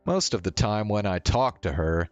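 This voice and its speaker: A man reading a visual novel aloud as a streamer, in measured narration with the odd stumble. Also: lowish voice, lively intonation, clean tone, lo-fi sound. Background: faint music.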